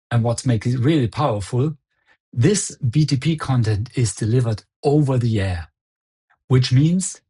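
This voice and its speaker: A man with a husky, slightly rough voice at an average male pitch. He presents a software product in a live demo style, walking the listener through it step by step in an explanatory way.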